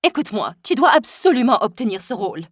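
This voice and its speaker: A woman performs a glamorous, overbearing stage mother in a dubbed animated story. Her high voice leaps wildly up and down as she fusses and insists, sugary one moment and sharp the next. The sound is dry and close. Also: quick pace, no echo. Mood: insistent.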